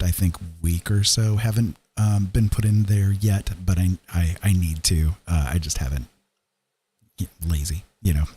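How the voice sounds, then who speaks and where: A man's voice: lowish voice, warm tone, a little hiss or hum. A man hosting a livestream, chatting casually and hesitantly in a rambling, self-deprecating way. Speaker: livestream host. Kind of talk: casual rambling chat to viewers.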